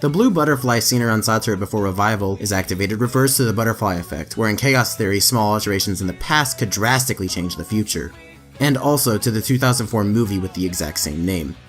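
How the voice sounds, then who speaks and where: A man's voice: lowish voice, lively intonation, quick pace, a bit bright. A man reading anime trivia as a scripted voice-over, with emphatic lifts in his delivery. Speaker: anime trivia video narrator. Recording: faint background music under voice-over.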